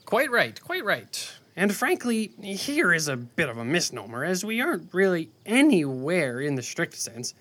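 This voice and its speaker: A man's voice: high-pitched, huge pitch swings, a little slow, hardly any pauses, bright, forceful tone, heavily acted. A man voicing a proud, grumpy rabbit scribe with a fussy, pompous manner in a children's audio drama. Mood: pedantic. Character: grumpy, proud male rabbit scribe, pedantic and easily offended.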